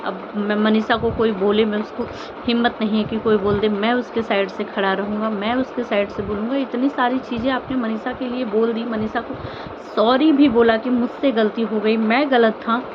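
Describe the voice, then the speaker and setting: High-voiced woman vlogger over muffled, noisy audio. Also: fairly even intonation, moderate pace. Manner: earnest, pleading, repeats phrases and rhetorical questions. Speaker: vlogger commenting on online family drama. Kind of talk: informal opinion commentary addressed to viewers.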